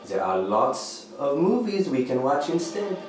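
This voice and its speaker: A man's voice: fairly high pitch, sing-song, unhurried. Very echoey, swooping, placating man, acted. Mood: soothing, earnest. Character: needy, apologetic male houseguest, clingy, awkwardly eager to please. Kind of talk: radio thriller dialogue. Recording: very echoey room, music underneath.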